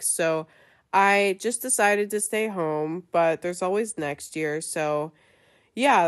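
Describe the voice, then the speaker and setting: A young woman hosts her podcast with a chatty, easygoing flow, full of little fillers. Her voice sits a little low, and a cold leaves it stuffy and nasal. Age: young adult. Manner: chatty, lots of fillers and likes. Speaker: podcast host. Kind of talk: casual chat.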